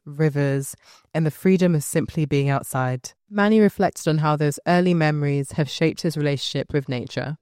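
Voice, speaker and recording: A podcast host of medium pitch reading scripted narration. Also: clear, resonant tone, no echo, studio quality. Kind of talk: scripted narration over music. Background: music.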